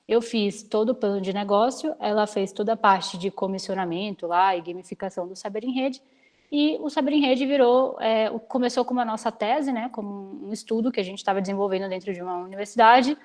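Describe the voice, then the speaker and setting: A woman in her twenties from Salvador, a startup founder giving an energetic, practical guest talk over a video call. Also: on the high side, a little fast. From Salvador, Bahia, Brazil. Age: 20s. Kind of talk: online lecture with slides.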